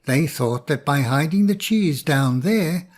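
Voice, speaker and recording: A cunning fox talking his way out of trouble, read by a man. He speaks slowly and persuasively, in a fairly high voice. It sounds like a storybook read aloud. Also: expressive, a little mellow. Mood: scheming. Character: sly scheming male fox.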